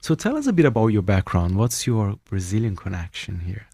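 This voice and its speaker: Enthusiastic low-voiced male radio interviewer. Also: big pitch swings, a little fast, gravelly, no room sound. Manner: enthusiastic, chatty, warm questions with tag "right?".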